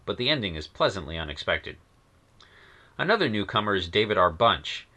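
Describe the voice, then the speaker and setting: Animated low-voiced man, bright delivery. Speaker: reviewer and host reading his own column. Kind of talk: scripted commentary on science fiction magazines.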